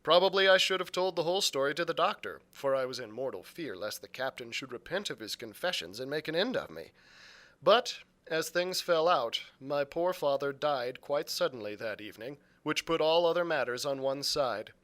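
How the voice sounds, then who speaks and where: A man's voice: a little high, lively intonation, moderate pace, a bit bright. A man reading a classic adventure story aloud as a youth librarian, in narrated storybook style.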